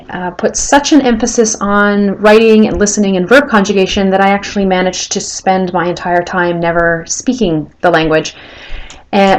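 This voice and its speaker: A woman in her thirties with a Canadian accent, earnestly introducing herself as a language learner in a personal video and pausing now and then to rephrase. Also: mid-range voice, rough recording. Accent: Canadian English. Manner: earnest, thoughtful, pauses to rephrase.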